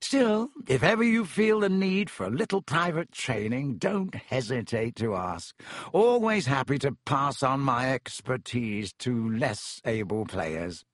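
A man's voice: mid-range voice, sing-song, measured pace, dry recording, clean studio sound. A man voicing a vain, boastful wizard professor in a pompous, theatrical manner for a storybook. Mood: smug, condescending. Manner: pompous, self-dramatising. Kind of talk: audiobook character acting.

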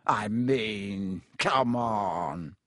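A man voicing a vain, boastful wizard teacher who talks down to everyone in a smooth, self-satisfied way. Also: on the low side, extremely slow, fairly bright tone, no echo, clean studio sound, pitched below the performer's own voice, full character voice. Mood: smug. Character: vain, pompous fraud of a male wizard teacher.

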